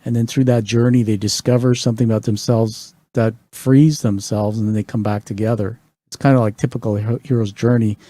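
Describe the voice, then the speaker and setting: A man from England hosts a relaxed interview in a mellow, warm voice. He speaks enthusiastically, often restarting his sentences as he gushes about the guest's book. The sound is dry and close to the mic. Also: fairly low pitch, fairly quick, dry recording. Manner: enthusiastic, rambling with restarts and praise. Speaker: podcast host. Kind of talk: conversational interview.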